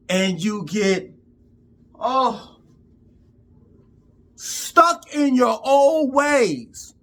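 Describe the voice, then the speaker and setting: Fifty-something guy preaching straight to cam, raspy voice, swears a lot. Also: very high-pitched, fairly bright tone. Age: 50s. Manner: emphatic, profane, preachy street talk. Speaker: vlogger. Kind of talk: unscripted rant to camera.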